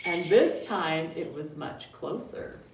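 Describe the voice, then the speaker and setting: Slow-paced woman reading a children's story. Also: lowish voice, animated, music in the background. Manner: slow, measured storytelling with drawn-out words and dramatic emphasis. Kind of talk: expressive picture-book read-aloud for young children. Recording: roomy echo, distant mic, faint noise.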